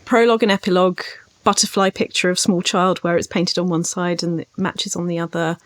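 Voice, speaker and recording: A woman's voice: on the low side, flat delivery, a little fast, dry recording. Woman writing coach, close dry sound. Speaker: podcast host and writing coach. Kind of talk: conversational writing advice.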